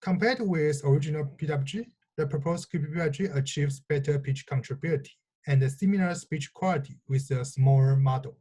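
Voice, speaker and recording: Slightly high male presenter, dark husky voice. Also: fairly quick, low-quality recording. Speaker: researcher presenting a paper. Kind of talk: scripted technical presentation.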